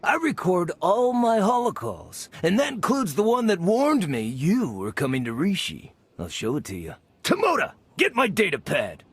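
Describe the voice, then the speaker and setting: Vain, theatrical gang leader for a game: husky, rather high, flustered and given to dramatic pauses. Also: male voice, huge pitch swings, no echo, full character voice. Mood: uneasy. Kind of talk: video game character acting.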